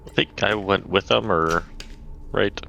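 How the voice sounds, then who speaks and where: A man's voice: on the low side, a little slow, creaky. A man playing a tabletop game, chatting casually on a lo-fi microphone. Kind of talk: casual chat. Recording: dull lo-fi mic, background noise.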